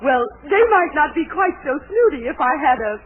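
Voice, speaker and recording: A gushing, domineering middle-aged landlady in an old radio comedy. Her voice is high and very animated, full of melodramatic coaxing. The recording is narrow and tinny, as from the 1940s. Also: moderate pace. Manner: theatrical pleading, melodramatic. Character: overbearing, gushing, wheedling landlady and mother.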